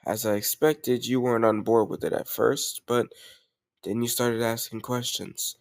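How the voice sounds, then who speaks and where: A man's voice: mid-range voice, level tone, measured pace, creaky, no room sound, clean studio sound. A man playing a confident, doting mafia boss boyfriend in an intimate roleplay audio, speaking affectionately and directly to a lover. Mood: fond, impressed. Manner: affectionate, self-assured, addressing a lover directly. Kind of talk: intimate roleplay audio, scripted romantic monologue.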